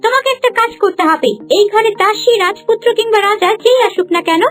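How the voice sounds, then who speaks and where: A woman plays a young princess bride, haughty and scheming. The voice is very high and imperious, in fairy-tale cartoon style. Soft music sits behind it. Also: fairly quick.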